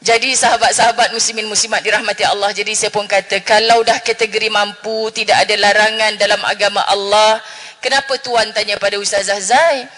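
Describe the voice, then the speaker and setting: Middle-aged woman preacher with a northern Malaysian Malay accent; bright, animated, playful with the crowd; long hall reverb. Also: mid-range voice, a little fast. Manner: chatty, jokes with the audience, Arabic phrases. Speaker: religious speaker. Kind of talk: lively religious forum talk. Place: mosque hall.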